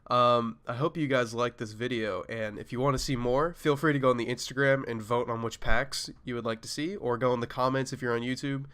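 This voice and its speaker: A man's voice: medium pitch, fairly even intonation, brisk, few pauses, a bit bright, smooth. Nasal man with a cold. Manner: laid-back, reacting with short exclamations. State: sick with a cold. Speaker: sample pack reviewer. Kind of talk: casual review commentary. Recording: music playing behind.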